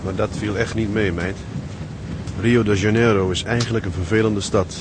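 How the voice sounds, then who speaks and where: An actor playing an earnest, weary young Dutch ship's officer in a radio drama. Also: male voice, lowish voice, fairly even intonation, moderate pace, lightly acted. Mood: reflective, chatty. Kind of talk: radio drama acting with letter narration. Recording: very noisy, stormy ship sound effects.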